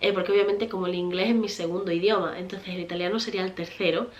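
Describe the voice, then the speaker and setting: A woman's voice: on the low side, steady tone, quick pace. A woman from Spain in her thirties with a Spanish accent, chatting casually to her viewers and often laughing. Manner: chatty, rambling, many fillers and restarts, laughs often. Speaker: vlogger, mother. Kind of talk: casual chat answering viewer questions.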